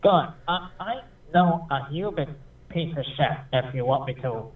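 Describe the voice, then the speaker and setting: A man calling in to a show, speaking in a nasal voice with a halting delivery. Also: a little high, slightly rough, distant mic. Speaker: caller. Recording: tinny phone line.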